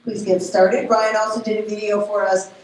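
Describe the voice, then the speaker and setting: A woman with a Spanish accent speaking at a live event, presenting programs and awards in an informal, halting way with many fillers and self-corrections. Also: mid-range voice, level tone, darkish tone. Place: large event hall. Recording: very echoey hall, faint background noise.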